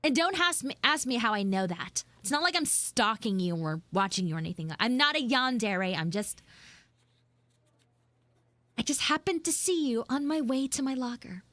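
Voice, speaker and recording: A woman's voice: on the high side, expressive, normal speed, fairly bright tone, no echo. A woman voicing a flustered, shyly smitten tsundere schoolgirl in a live audio roleplay, hesitant and coyly defensive.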